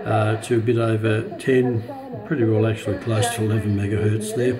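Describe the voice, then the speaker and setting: A man with a fairly low voice walks through a piece of equipment in a casual, unscripted way. He speaks haltingly, pausing often as he goes. It is a home camera recording made indoors, with clear chatter behind him. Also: low-quality recording. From Australia. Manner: halting, hesitant delivery with frequent pauses. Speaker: radio enthusiast presenting a receiver. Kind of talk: casual unscripted product demonstration.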